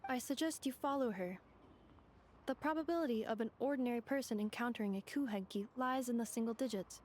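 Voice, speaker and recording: Woman playing a formal, high-voiced robot guide. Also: a little mellow, natural voice. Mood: calmly informative. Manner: formal, measured, analytical. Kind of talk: video game character dialogue. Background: game music.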